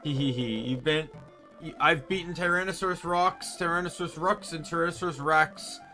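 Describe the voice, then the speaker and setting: A man's voice: fairly high pitch, steady tone, a little fast, sharp tone, soft background music. A man voicing a menacing, gluttonous female dinosaur demon in a gloating, sickly-sweet auntie manner. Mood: smug, menacing.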